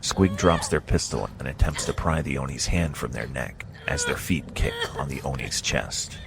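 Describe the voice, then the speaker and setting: A man giving scripted second-person narration of an action story in a steady, measured way. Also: a bass voice. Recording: clear background noise.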